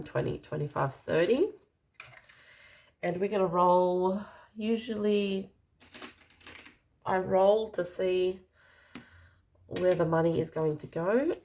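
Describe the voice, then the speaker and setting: An Australian woman with a slightly croaky, dry voice, casually narrating as she counts out cash in an unhurried way. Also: lowish voice. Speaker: budgeting vlogger.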